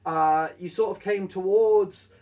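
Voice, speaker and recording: A young man co-hosting a casual retrospective chat, halting and often repeating his phrases as he goes. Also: sits high, darkish tone. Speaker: co-host, web series creator. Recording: distant from the mic.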